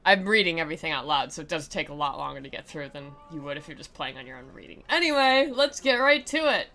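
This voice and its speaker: Sarcastic, bright-voiced woman doing game commentary. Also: low voice, expressive, fast, a little hiss or hum. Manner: sarcastic, playful, mocking. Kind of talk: sarcastic commentary and dramatic reading of a visual novel. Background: faint music.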